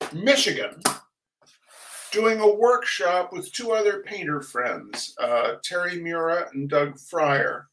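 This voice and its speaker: An older man hosting a reading of humorous essays, chatting casually and reading aloud in a wry, theatrical, halting manner. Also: fairly high pitch, a bit bright. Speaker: host of a weekly humor reading. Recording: roomy echo, distant microphone.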